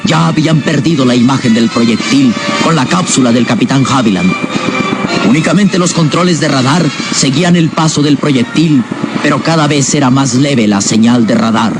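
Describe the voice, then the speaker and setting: A man's voice: medium pitch, moderate pace. A man narrating a radio drama serial in a dramatic, scripted storytelling style. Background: loud music.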